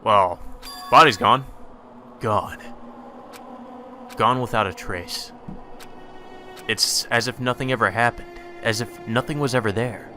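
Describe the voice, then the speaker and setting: A man's voice: mid-range voice, slow, long pauses, sharp tone, faint music behind. A man narrating a visual novel playthrough, casual and sweary in his delivery. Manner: casual, heavily profane. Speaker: let's play streamer. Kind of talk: gameplay commentary and visual novel read-aloud.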